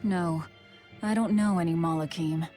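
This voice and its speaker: Woman as a curt, low-voiced game heroine. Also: moderate pace, soft background music, natural voice. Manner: blunt, deadpan, dismissive. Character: curt, cold, guarded young woman, escaped prisoner. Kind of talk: dramatic video game voice acting.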